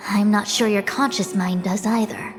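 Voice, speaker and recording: A woman's voice: mid-range voice, fairly even intonation, lightly acted. A woman voicing a composed, haughty young seer in a video game, speaking formally with dry authority. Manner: formal, measured, dryly imperious. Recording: long hall reverb, game music behind. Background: game music.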